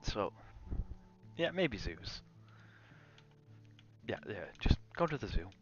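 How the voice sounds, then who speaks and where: A man's voice: lowish voice, sing-song, slow, highs cut off, music in the background. A man chatting casually on an ASMR gaming livestream, rambling and drifting between chat and game reactions. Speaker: game streamer.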